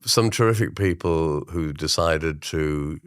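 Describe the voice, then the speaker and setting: A man's voice: a bass voice, slow pace, clear, resonant tone, no echo, studio quality. An older man with an Irish accent, chatting as an interview guest in a rambling, thoughtful, anecdotal way. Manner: rambling, thoughtful, often agreeing. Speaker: interview guest, amateur drama society member. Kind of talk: casual chat, anecdotal.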